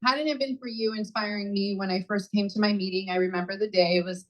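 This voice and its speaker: A woman's voice: medium pitch, fairly even intonation, fast, noisy background. A woman acting as topic master, running a club meeting in an upbeat way.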